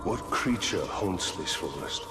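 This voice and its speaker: A man's voice: low voice, lively intonation, a little slow, loud music behind the voice. A man playing a grim, wary ranger warrior in a video game cutscene, terse, dry and serious.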